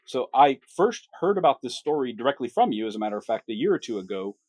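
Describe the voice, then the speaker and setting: A man's voice: mid-range voice, very animated, fast, a little mellow, no room sound. A man hosting a podcast interview, reading his sponsor thanks and asking earnest questions. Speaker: podcast host.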